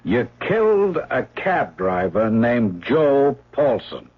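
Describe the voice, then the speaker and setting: An actor playing a hard police lieutenant in a radio drama, husky, blunt and accusing, on a narrow old recording. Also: male voice, mid-range voice, big pitch swings, takes it slowly, exaggerated voice. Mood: accusing, firm. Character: hard, insistent male police lieutenant interrogating. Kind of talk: 1970s radio drama acting.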